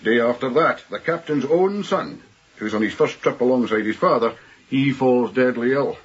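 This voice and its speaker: An actor playing a gloomy, superstitious ship's officer in a radio drama, speaking with solemn, deliberate earnestness. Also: male voice, medium pitch, husky, lo-fi sound, lightly acted. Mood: grave, insistent.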